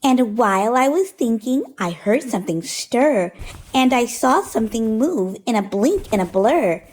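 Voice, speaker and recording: A woman hosting storytime, reading a rhyming picture book aloud in an expressive, rhythmic, sing-song way. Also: a little high, measured pace, poor audio.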